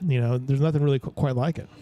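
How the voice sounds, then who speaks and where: Halting, rambling man hosting. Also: mid-range voice, fairly even intonation, dark tone, muffled recording. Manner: rambling, halting, many restarts. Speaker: host. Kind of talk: casual interview.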